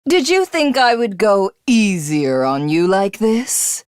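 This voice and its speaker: A voice actress playing a haughty, cruel would-be goddess, a grandiose and theatrical video game villain. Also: female voice, mid-range voice, big pitch swings, darkish tone, clear, resonant tone, no room sound, clean studio sound. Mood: smug, menacing. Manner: grandiose, slow, archaic, menacing delivery.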